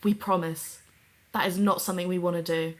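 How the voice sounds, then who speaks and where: A woman's voice: lowish voice, steady tone, brisk, close to an everyday voice. A woman in her twenties voicing a troubled young podcaster in an audio drama, talking candidly to a voice recorder. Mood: earnest. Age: 20s. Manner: candid, self-deprecating, talking to herself. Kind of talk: audio drama, diary-style monologue.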